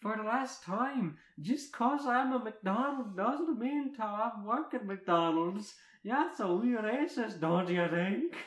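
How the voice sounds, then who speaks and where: A man voicing a fierce, indignant young animated princess in loud rants. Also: sits high, slow, few pauses, roomy sound, pitched above the performer's own voice. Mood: annoyed.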